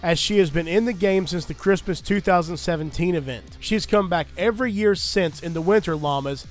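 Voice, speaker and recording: A young man, getting over a cold, gives a chatty, earnest voice-over about his gameplay as a gaming creator. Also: high voice. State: recovering from a cold. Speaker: gaming YouTuber. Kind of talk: casual gameplay commentary and loadout guide.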